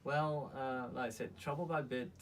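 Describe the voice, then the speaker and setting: A man from Malaysia speaking as a guest in a casual interview, earnest and halting in his delivery. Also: mid-range voice, steady tone. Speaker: interview guest, company founder. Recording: distant mic, faint background noise.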